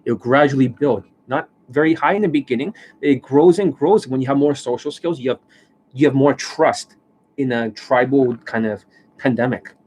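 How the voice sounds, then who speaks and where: A man hosting a live stream as a dating coach. He rants bluntly and swears often, and his voice is worn and hoarse from overuse, with coughs and throat clearing. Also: medium pitch, moderate pace, darkish tone, a little hiss or hum. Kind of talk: livestream monologue. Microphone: desk microphone.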